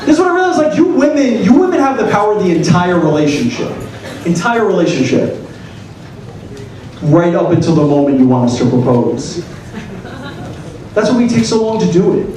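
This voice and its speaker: A man in his twenties performing a stand-up set on a comedy club stage, punchy and profane, with deadpan punchlines. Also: high voice, brisk, long pauses, a little hiss or hum. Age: 20s. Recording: echoey club sound from a distance. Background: audience laughter.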